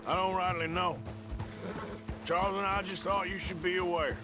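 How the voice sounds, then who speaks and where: Man doing a weary outlaw, higher voice, guarded, game dialogue over music. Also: fairly even intonation, close to an everyday voice. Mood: worried. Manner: plainspoken, slow and guarded. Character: weary rugged male outlaw, plainspoken. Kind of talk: video game voice acting.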